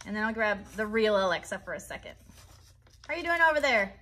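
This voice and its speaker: A woman's voice: mid-range voice, animated, fast, bright, forceful tone. An American woman in her thirties hosting a live craft tutorial for children, chatty and encouraging as she talks directly to them. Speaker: children's craft host and puppeteer. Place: child's bedroom set indoors.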